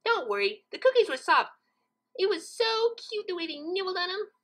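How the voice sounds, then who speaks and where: A woman voicing a cheerful, scatterbrained young female cartoon pony with a giggly, excitable, breezily confident manner. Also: very high-pitched, normal speed, voice pushed up, full character voice. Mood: bubbly, excited.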